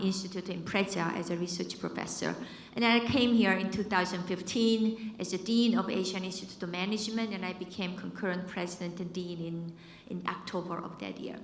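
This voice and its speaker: A woman's voice: medium pitch, a bit bright. Korean-accented woman in an echoey hall. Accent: Korean, mixed American and British. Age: 50s. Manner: conversational, with fillers and repeated words. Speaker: business school dean and professor. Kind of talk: conference talk. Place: conference hall. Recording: echoey hall with long reverb.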